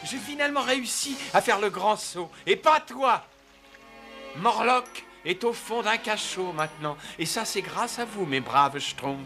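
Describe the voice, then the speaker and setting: An actor playing an earnest young prince in a cartoon, with a theatrical manner. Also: male voice, sits high, animated, measured pace, bright, forceful tone, exaggerated voice. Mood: earnest, wistful. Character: earnest young prince freed from toad form. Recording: music behind the voice.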